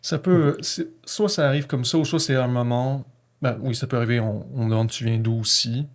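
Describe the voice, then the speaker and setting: Québécois man with a fairly even delivery. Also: mid-range voice, moderate pace. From Quebec, Canada. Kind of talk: reflective personal conversation.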